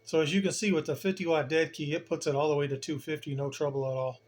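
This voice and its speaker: A man's voice: on the high side, steady tone, fast, darkish tone. A man giving a casual hands-on demonstration as a hobbyist host, plain-spoken and colloquial, with emphatic turns of phrase.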